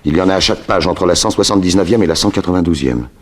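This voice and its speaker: A man's voice: low-pitched, racing. A man playing a cool, self-assured private detective in a 1960s French radio drama, with a dry, authoritative manner. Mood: pensive, deductive.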